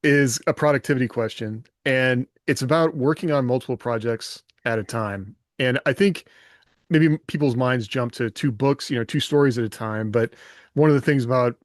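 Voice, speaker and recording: A man's voice: medium pitch, normal speed, no echo. Casual male podcast host, very dry sound. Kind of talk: casual chat.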